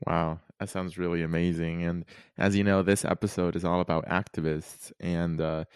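A man's voice: deep voice, a little mellow, clean tone, no room sound, studio quality. A teenage boy hosting a podcast interview, friendly and loose, with many fillers. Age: teens. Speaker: podcast host.

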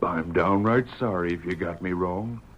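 An actor playing a crooked, blustering cattle rustler with a drawling American accent in an old-time radio western. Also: male voice, deep voice, moderate pace, slight background noise, close to an everyday voice. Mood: smug menace. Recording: dull, muffled vintage radio recording.

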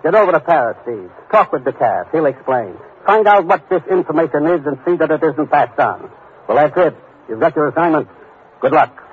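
A businesslike commissioner, acted by a man from an old radio thriller. His fairly high male voice is brisk and authoritative. The recording is thin and tinny with faint noise. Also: animated, natural voice. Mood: commanding. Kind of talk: 1950s radio drama acting.

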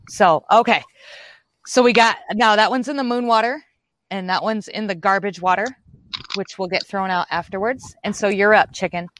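A woman's voice: medium pitch, fairly bright tone. A young woman hosting a casual livestream, chatting loosely and rambling through a small ritual. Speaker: livestream host.

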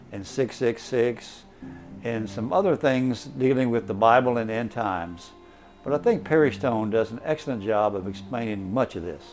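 A man's voice: medium pitch, a little mellow. A man speaking as a podcast host, introducing an episode in a conversational style. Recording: music behind the voice. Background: music.

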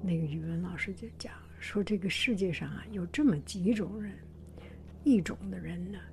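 A woman hosting her own channel, talking gently to her viewers in a soft whisper, hesitant and full of pauses. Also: lowish voice, a little hiss or hum. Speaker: channel host. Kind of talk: whispered vlog talk to viewers.

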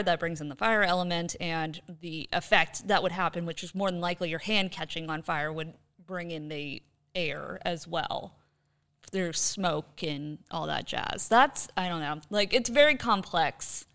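A woman with a slightly low, smooth and resonant voice. She chats as a podcast co-host in a casual discussion. She muses aloud, working her ideas out as she goes. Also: a little slow, fairly bright tone. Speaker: podcast co-host, quiz contestant.

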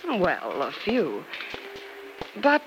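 An actress playing a coy but frank young nurse in an old-time radio drama, with a hesitant delivery. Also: female voice, fairly low pitch, wildly swooping pitch, drawn-out words, exaggerated voice. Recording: very noisy vintage radio recording.

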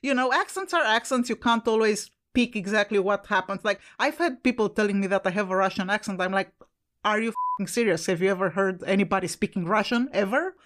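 A woman's voice: mid-range voice, a little fast, a bit bright. A woman co-hosting a podcast with an Italian accent, chatting casually, laughing often and swearing now and then. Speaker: podcast co-host.

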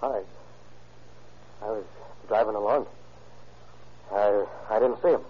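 A stammering, frightened hit-and-run driver. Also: male voice, lowish voice, takes it slowly, breathy edge. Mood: fearful. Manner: stammering, nervous. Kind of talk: 1930s radio drama acting. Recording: dull lo-fi 1930s radio recording.

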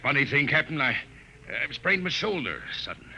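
A man's voice: on the high side, big pitch swings, normal speed, bright, forceful tone, exaggerated voice. An actor playing a spaceman and storyteller in an old-time radio drama. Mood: wry. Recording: noisy vintage radio recording.

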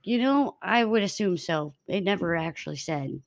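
A woman's voice: on the low side, animated, moderate pace, creaky. A young woman co-hosting a casual podcast, telling a story in a matter-of-fact way to her friends. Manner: matter-of-fact, laughs between lines. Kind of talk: reading a case aloud to co-hosts.

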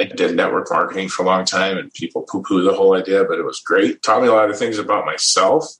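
Man, middle-aged, from the Midwest, lowish voice, easygoing, chuckling, roomy echo. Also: fairly bright tone. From American Midwest. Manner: easygoing, chuckles often, restarts phrases. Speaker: guest. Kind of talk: casual interview storytelling.

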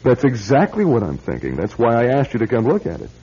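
An actor playing a wry, impatient young bachelor in a classic radio drama. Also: male voice, mid-range voice, wildly swooping pitch, fast, warm tone, breathy edge, heavily acted. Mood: skeptical, puzzled. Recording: dull, lo-fi old radio recording.

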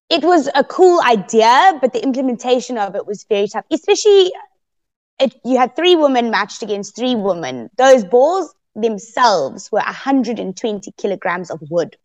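A woman guest on a podcast interview, telling her story expressively and loudly, with laughs here and there. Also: fairly high pitch, sing-song, moderate pace. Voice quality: very loud voice. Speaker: guest, eliminated contestant.

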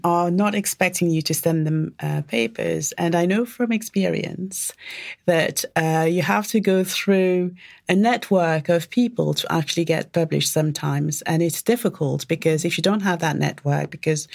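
A woman's voice: fairly low pitch, gravelly, a little mellow. A woman whose first language is French, speaking English with a French accent, answering interview questions thoughtfully and often repeating words as she searches for them. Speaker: history professor, guest.

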